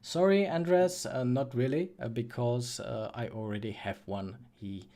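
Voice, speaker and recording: A man's voice: medium pitch, unhurried, dark tone. A man from the Czech Republic with a Czech accent, casually answering viewer questions as host of a livestream, in a hesitant, self-correcting way. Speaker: livestream host, freelance 3D artist and course creator.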